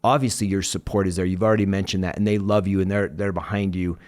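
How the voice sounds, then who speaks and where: A man's voice: low voice, steady tone, fast, a little mellow. A man hosting a podcast interview, enthusiastically praising his guest and asking long, winding questions. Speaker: podcast host.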